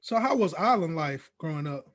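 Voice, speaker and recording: A man's voice: on the high side, lively intonation, normal speed. A man hosting a podcast interview, talking in an informal, upbeat, slangy way. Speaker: podcast host.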